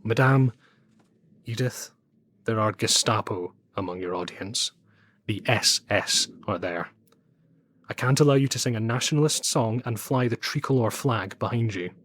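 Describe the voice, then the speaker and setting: A man playing a Nazi official in an audio drama, formal and insinuating, with quiet menace under his courtesy. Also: fairly low pitch, normal speed. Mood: quietly threatening.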